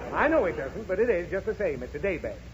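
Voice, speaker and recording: A man's voice: high-pitched, big pitch swings, quick pace. An actor playing an irritable American father in a 1930s radio comedy, grumbling and explaining things with huffy sarcasm. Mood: grumpy, impatient. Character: exasperated, grumbling father of a pestering little girl. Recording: dull, noisy 1930s radio recording.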